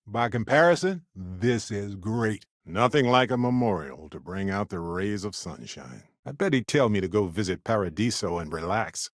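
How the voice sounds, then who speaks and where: An affable male space explorer and scientist for a video game. His voice sits low and moves around expressively. He is conversational, playful and wry, and the recording is dry and close. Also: dry recording. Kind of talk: video game companion dialogue.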